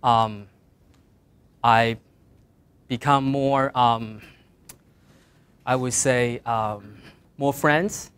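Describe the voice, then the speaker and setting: A middle-aged man with a Mandarin Chinese accent gives practical career advice in English, his second language. His voice sits at an ordinary middle pitch. He speaks earnestly, lifting his voice on key points and letting the ends of phrases trail off quietly. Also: steady tone, drawn-out words, long pauses, clear, resonant tone, dry recording. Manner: earnest, plain advice with emphatic rises and trailing quiet endings. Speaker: guest speaker. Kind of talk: career talk to design students.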